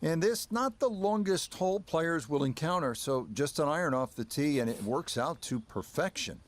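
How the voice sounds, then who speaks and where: A man giving calm, measured play-by-play commentary on golf in a video game. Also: fairly high pitch. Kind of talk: sports commentary.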